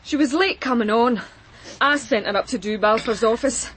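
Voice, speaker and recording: An earthy office cleaner acted by a woman in a radio crime drama. She speaks bluntly and colloquially in a Glaswegian accent. The voice is on the high side, with some noise behind it. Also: normal speed, a bit bright, lightly acted. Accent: Glaswegian Scottish.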